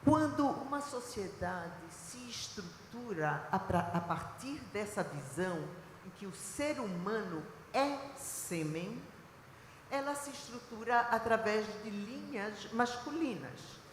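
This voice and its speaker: A woman from Recife with a Recife accent, speaking on a panel in a deliberate, lecturing way with frequent filler pauses. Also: medium pitch, animated, a little hiss or hum. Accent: Recife, Brazilian Portuguese. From Recife, Brazil. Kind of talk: panel talk. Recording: echoey hall recording.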